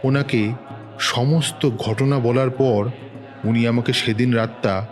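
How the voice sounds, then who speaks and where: A man's voice: mid-range voice, fairly even intonation, takes it slowly, faint music behind. A man narrating a horror story in the first person, in a tense, urgent way.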